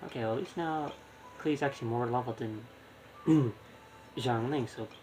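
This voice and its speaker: A man's voice: medium pitch, moderate pace, darkish tone, faint music behind, low-quality recording. A man streaming a video game, thinking aloud in casual, rambling live commentary.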